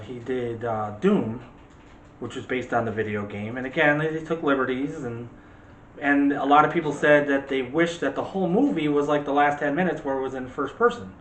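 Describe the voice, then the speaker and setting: Man, mid-pitched voice, emphatic movie co-host, opinionated casual chat, roomy echo, faint background noise. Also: bright, forceful tone. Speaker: co-host of a movie discussion show.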